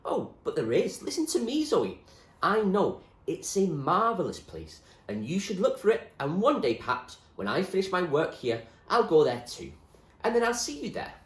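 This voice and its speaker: Man playing an earnest, stubborn dreamer, highly animated. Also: normal speed, echoey room. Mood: dreamy urging. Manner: earnest, firm, insistent. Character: stubborn, idealistic, dreamy male friend, earnest and odd. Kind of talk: acted storybook dialogue.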